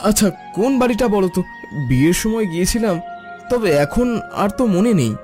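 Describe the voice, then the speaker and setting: Man voicing a high, quick, earnest village lad. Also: big pitch swings, a little mellow. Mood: eager, helpful. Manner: earnest, eager. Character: helpful, caring young village lad. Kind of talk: Bengali cartoon dialogue acting. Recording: background music under voice.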